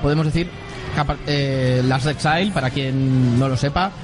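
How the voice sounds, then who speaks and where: A man with a Spanish accent from Spain, hosting a casual manga chat with halting explanations. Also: medium pitch. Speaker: podcast host. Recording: music playing behind the voice. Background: clear background music.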